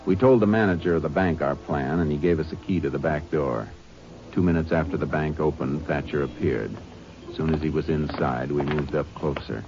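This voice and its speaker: A deep-voiced stern Texas Ranger. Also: male voice, fairly quick, music in the background. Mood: narrating. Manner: laconic, drawling, dry. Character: calm stern male Texas Ranger lawman. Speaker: narrator and lead. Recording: dull old radio broadcast with faint hiss.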